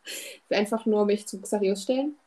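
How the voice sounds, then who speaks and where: A woman's voice: medium pitch, moderate pace, mellow. A woman chatting casually as a player in a tabletop role-playing game podcast. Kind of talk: casual chat.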